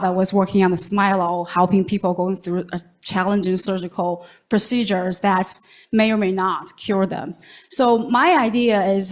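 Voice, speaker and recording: A woman, a nurse, giving a short pitch at an event in a halting way, searching for words.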